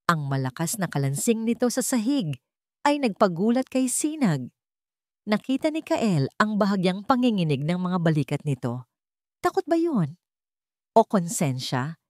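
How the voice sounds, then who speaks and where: A woman reading a scripted, dramatic love story in a measured, solemn storytelling manner. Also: lowish voice, darkish tone, no room sound, clean studio sound. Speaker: story narrator.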